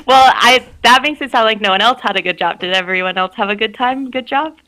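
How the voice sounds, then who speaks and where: Bright, sharp-voiced young woman joking around. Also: a little high, fairly quick. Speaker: guest performer on a comedy livestream. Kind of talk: casual comedic chat.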